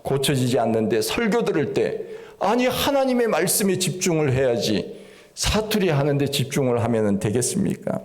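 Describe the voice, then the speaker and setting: Folksy Korean pastor from Gangwon preaching. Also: male voice, medium pitch, sing-song, moderate pace. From Gangwon Province, Korea. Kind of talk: sermon.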